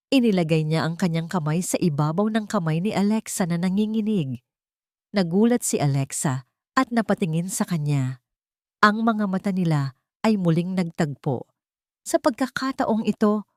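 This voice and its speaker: A woman narrates a scripted romance story in a fairly low voice. Her intonation rises and falls expressively, and the recording is dry and close. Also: animated, moderate pace, no echo.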